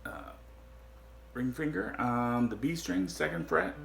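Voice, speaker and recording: A man with a deep, gravelly voice walks through a guitar lesson at an unhurried pace. He pauses often with fillers as he explains. Loud guitar playing rings through an echoey room behind him. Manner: slow, halting explanations with many fillers. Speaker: guitar teacher.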